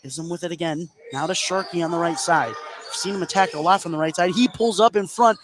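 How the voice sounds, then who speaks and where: An American man doing live basketball play-by-play, getting excited and stretching his words on big plays. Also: a little high, fairly quick, crowd noise. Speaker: sports play-by-play announcer. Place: indoor high school gym. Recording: clear gym noise behind the voice. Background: gymnasium ambience.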